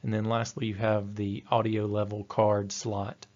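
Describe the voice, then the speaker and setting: A man's voice: fairly low pitch, completely flat delivery, measured pace, a little mellow. A man giving an instructional technical presentation in a methodical, explanatory manner. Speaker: technical trainer. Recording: dull, lo-fi voice-over audio.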